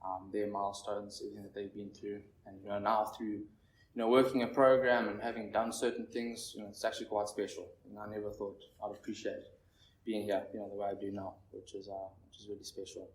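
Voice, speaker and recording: A nervous young man in his twenties from Zimbabwe, sharing his recovery story at a meeting in a halting, rambling way. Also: lowish voice, level tone. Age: 20s. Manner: halting, rambling, heavy on fillers. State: nervous, shaky. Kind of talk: personal recovery share. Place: meeting room with an audience. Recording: echoey room, distant mic, faint noise.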